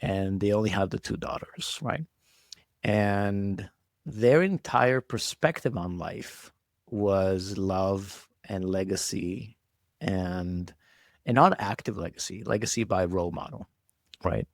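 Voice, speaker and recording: A man's voice: deep voice, slow pace, dry recording, studio quality. A man, giving reflective, conversational answers as an interview guest. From Israel. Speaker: podcast guest, entrepreneur.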